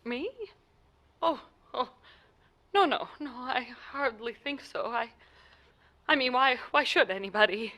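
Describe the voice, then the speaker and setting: A woman's voice: fairly high pitch, a little slow, long pauses, sharp tone. A woman playing an anxious, imperious bedridden wife in a 1940s-style radio play, stammering and insistent in an agitated way. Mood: uneasy, dismissive.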